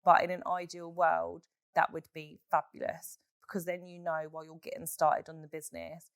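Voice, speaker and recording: Hoarse female podcast host, frank and animated, low-ish voice, dry room. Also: normal speed, dry recording. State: hoarse from a chest infection. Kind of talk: solo podcast Q&A, casual chat.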